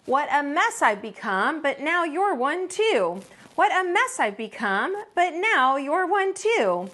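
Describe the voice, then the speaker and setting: A woman's voice: high voice, sing-song, slow pace, a bit bright, voice pushed up, full character voice. A woman voicing a forgetful, friendly young country boy from a storybook, with an earnest sing-song delivery. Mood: earnest chanting.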